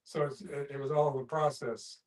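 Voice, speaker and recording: An older man chatting in a group, reflecting on himself in a halting way. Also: mid-range voice, steady tone, distant mic. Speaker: discussion group participant, writer. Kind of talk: casual group chat. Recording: roomy echo, off the microphone.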